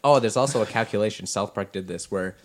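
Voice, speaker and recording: A man in his twenties chatting casually with friends, laid-back and quick to laugh. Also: on the low side, no echo. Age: 20s. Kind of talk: casual chat among friends. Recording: clear background noise.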